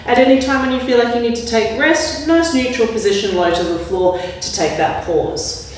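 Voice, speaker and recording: A woman leading a yoga class with calm, gentle spoken cues. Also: a little high, normal speed, a little hiss or hum. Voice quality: slightly raspy when straining. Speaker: yoga instructor. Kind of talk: guided yoga class instruction. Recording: very echoey room, mic at a distance.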